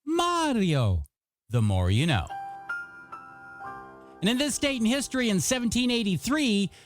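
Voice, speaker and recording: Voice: mid-range voice, dry recording, clean studio sound. A radio DJ with upbeat patter and playful, chatty asides between songs. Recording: music under the voice.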